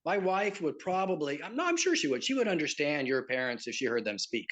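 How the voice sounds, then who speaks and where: A man with a slight Southern American accent, explaining things in a relaxed interview with lots of fillers. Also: fairly high pitch, fairly quick. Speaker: pilgrimage tour operator and guest. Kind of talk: conversational interview.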